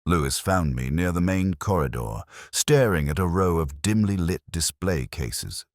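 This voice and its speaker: A man narrating a scripted first-person detective mystery as a reflective, world-weary inspector, with a measured, brooding literary delivery. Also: sits low, lively intonation, no echo, studio quality.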